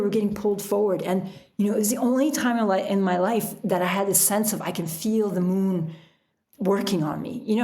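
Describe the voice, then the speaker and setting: A middle-aged woman telling stories casually as an interview guest, in an animated, chatty way. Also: mid-range voice. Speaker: podcast guest, author and sailor.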